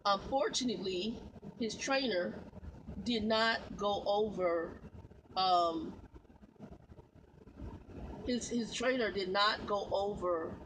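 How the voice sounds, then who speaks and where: A woman's voice: mid-range voice, slow pace, long pauses, fairly bright tone, slight background noise, poor audio. A woman truck driver vlogging in a casual, rambling way, repeating herself and calling her viewers y'all. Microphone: phone.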